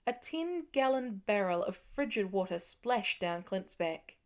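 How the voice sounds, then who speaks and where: A woman's voice: mid-range voice, expressive, measured pace. A woman reading scripted fiction as an audiobook narrator.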